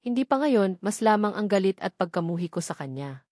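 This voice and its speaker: A woman's voice: mid-range voice, very animated, fairly quick, a little mellow, no room sound, studio quality. A woman narrator voicing a cold, bitter young woman who is resolute about revenge in an audiobook. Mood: bitter, vengeful.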